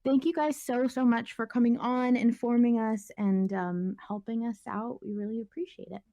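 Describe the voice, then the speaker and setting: A woman's voice: fairly high pitch, fairly even intonation, normal speed, dark tone, no echo, studio quality. A woman hosting a casual podcast discussion, chatting informally with her guests. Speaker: podcast host.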